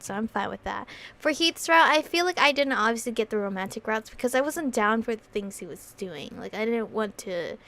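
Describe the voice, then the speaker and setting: A woman doing a casual visual novel playthrough, reading story text aloud and reacting with slangy, sweary commentary. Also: fairly high pitch. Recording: music playing behind the voice. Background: background music.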